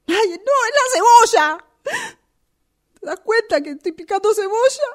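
A woman acts out a wounded, resentful Argentine girlfriend in a radio play phone call. Her voice is high and her delivery slow, in a Rioplatense accent. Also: animated. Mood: tearful outburst. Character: hurt, resentful, pregnant woman on phone with unfaithful partner. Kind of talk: radio drama phone monologue.

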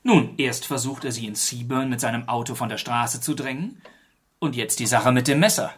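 An actor playing a composed, probing gentleman detective in a radio crime drama, measured and dryly polite. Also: medium pitch, slightly rough, natural voice. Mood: probing, skeptical.

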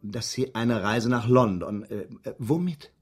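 A man playing a formal, methodical police inspector in a radio drama, measured and courteous as he probes, with slight hesitations. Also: medium pitch, husky. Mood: inquiring. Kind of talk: German radio drama acting.

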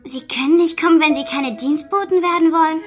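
Woman playing an earnest high-voiced little refugee girl. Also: a little fast, soft background music, natural voice. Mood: insistent. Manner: earnest, pleading. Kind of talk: German radio drama acting.

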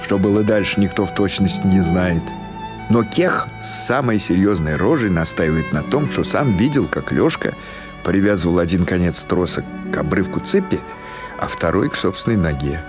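A man's voice: deep voice, animated, normal speed, music in the background. A man reading literary prose as a scripted audiobook narration.

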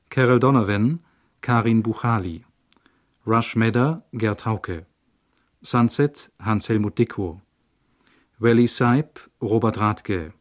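Male announcer for drama credits, formal scripted delivery, unhurried pace. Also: fairly low pitch. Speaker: radio announcer.

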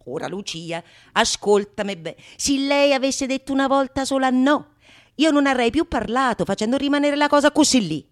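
A woman's voice: high-pitched, lively intonation, moderate pace. A woman playing a bawdy, boastful man who theatrically recounts his seductions in a radio theatre monologue. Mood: sly confiding. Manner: theatrical, boastful, mock-tender when quoting himself.

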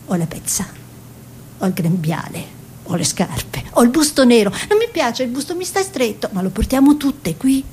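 A woman playing a dreamy, proud young peasant girl in a theatrical radio monologue, speaking in short incantatory phrases with hushed repetitions. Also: big pitch swings, moderate pace. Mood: plaintive. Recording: noisy recording with low rumble.

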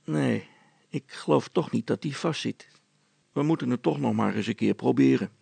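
A down-to-earth handyman from a storybook, voiced by a male reader, with a very creaky, husky voice. He is polite, practical and plainly reassuring. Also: medium pitch, moderate pace, darkish tone, no room sound. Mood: thoughtful. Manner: matter-of-fact, reassuring. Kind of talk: children's storybook character acting.

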